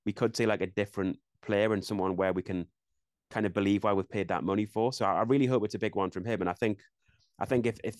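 Fast-talking young Englishman, low voice. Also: darkish tone, dry recording, studio quality. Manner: fast, chatty, thinks aloud with restarts. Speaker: podcast co-host. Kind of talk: casual football chat.

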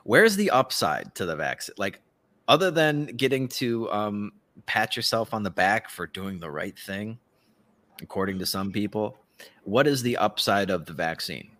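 A man's voice: fairly low pitch, lively intonation, moderate pace, fairly bright tone. A man co-hosting a casual live podcast, talking in a mocking, sarcastic way and often chuckling as he speaks. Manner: swears casually. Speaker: podcast co-host.

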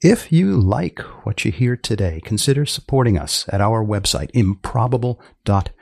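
A man hosting a science humor podcast, interviewing in a deliberate, deadpan way. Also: on the low side, gravelly, dry recording, clean studio sound. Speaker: podcast host.

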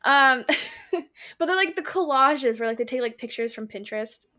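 A woman with a fairly high voice talks as a podcast co-host. Her delivery is casual and unscripted, with a giggly, animated way of telling stories. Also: normal speed. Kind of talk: casual unscripted book chat.

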